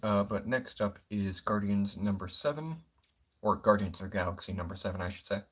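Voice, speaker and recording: A young man talking quietly and casually on a livestream, rambling about comic books with lots of fillers. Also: on the low side, a little fast, breathy edge. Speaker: comic book streamer.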